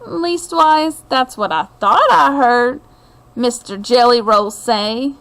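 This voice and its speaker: A middle-aged woman hosts a picture book read-aloud for children in a high-pitched voice. She takes her time, telling the story expressively with deliberate phrasing and speaking directly to her listeners. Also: fairly bright tone.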